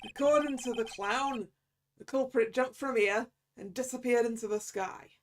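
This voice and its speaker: A woman's voice: fairly high pitch, normal speed, slight background noise, natural voice. Woman voicing a blustering, chummy London police detective. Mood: officious. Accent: London cockney, slipping towards Scottish. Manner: blustering, ends remarks with "pal". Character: gruff male police detective, chummy, hapless, easily flustered.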